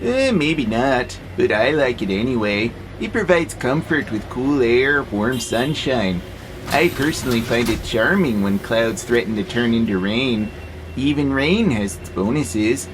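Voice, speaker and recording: A man's voice: medium pitch, animated, a little slow, slight background noise, lightly acted, poor audio. Dry, easygoing robot pacifist played by a man. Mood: wistful. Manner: dry, skeptical, laid-back. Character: wry, easygoing pacifist male warehouse robot who loves nature. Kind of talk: audio drama acting.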